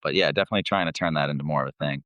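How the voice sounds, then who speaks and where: Low, smooth-voiced man telling tour stories. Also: racing, sharp tone, no echo. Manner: laid-back, slangy, sweary. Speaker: band vocalist, interview guest.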